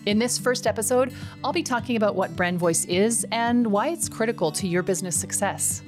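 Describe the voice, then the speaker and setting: A middle-aged woman with a slight Canadian accent hosting a scripted solo podcast, enthusiastic and emphatic, slowing down on key words. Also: medium pitch, music in the background. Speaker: podcast host.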